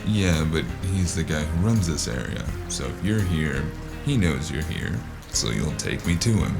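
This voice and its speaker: Deep-voiced male detective, acted by a man, weary, sardonic, unhurried, noir radio play, music underneath. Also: very animated, creaky, full character voice. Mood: dry, businesslike. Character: weary, sardonic male noir investigator shaking down street dealers. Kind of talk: noir audio drama acting. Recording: music behind the voice.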